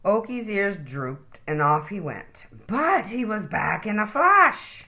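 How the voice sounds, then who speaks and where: A woman reading aloud as a children's storytime host, in expressive storybook narration. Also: medium pitch, slow pace.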